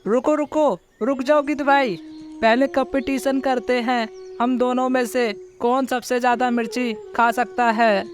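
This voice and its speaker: A man's voice: very high-pitched, steady tone, measured pace, voice pushed up. A man voicing a sweet, chatty, friendly little female bird in a children's cartoon moral story. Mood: playful, eager.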